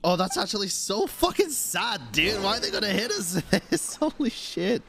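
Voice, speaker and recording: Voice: mid-range voice, very animated, normal speed, crowd noise. A streamer reacting casually and expressively to a game, with informal talk and swearing.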